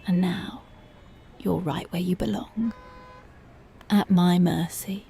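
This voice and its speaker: A woman playing a strict, dominant female police officer in an ASMR roleplay, slow, taunting and intimate, often slipping into whispers. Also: on the low side, steady tone, creaky, dark tone, slight background noise. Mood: taunting, menacing.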